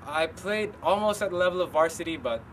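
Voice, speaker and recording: A young man from the Philippines, a student golfer, answering interview questions in a soft, hesitant way with many pauses. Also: on the high side, expressive. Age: young adult. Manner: soft-spoken, hesitant with frequent ums. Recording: distant mic, street noise.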